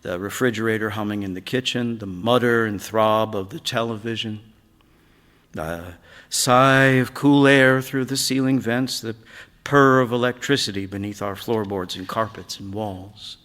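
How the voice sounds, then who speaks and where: Slow, low-voiced man reading in a hall. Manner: measured, hushed delivery with sudden swells. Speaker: actor reading a short story aloud. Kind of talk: dramatic literary narration on stage. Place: large theatre stage. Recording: reverberant hall, live stage reading.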